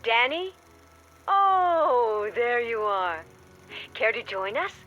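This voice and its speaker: An actress playing a breezy, glamorous film star hostess in an old television drama, theatrical and airy. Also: female voice, on the high side, very animated, drawn-out words, dull audio, heavily acted. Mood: gracious, carefree. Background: faint music.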